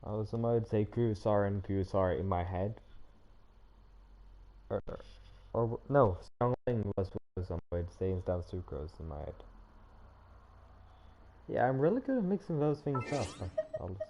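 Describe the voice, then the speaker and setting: A man doing casual, rambling livestream game commentary, thinking out loud to himself. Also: on the low side, animated, normal speed, gravelly, pauses often, highs cut off. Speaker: game streamer. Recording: loud game music behind voice. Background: video game music.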